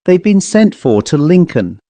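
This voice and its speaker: A man's voice: high-pitched, very animated, measured pace, dark tone, no echo, pitched above the performer's own voice. A man voicing an anxious, insistent woman in a dramatised storybook reading, with urgent, emphatic questioning. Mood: uneasy, determined. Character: anxious, insistent woman searching for her missing niece.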